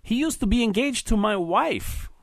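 A loud, slurring, drunk young Scandinavian man, as a male storyteller puts him on, pitched well above an ordinary speaking voice and swooping up and down as he rambles. He sounds boastful and jealous, and the recording is close and dry with no room sound. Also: lively intonation, normal speed, creaky, no echo. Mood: drunk, indignant.

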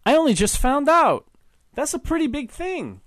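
A man voicing a drunk young Scandinavian man who is boastful and jealous, talking loud and rambling with slurred words. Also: extremely high pitch, sing-song, normal speed, no echo, clean studio sound, pitched above the performer's own voice, heavily acted. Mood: drunk, indignant.

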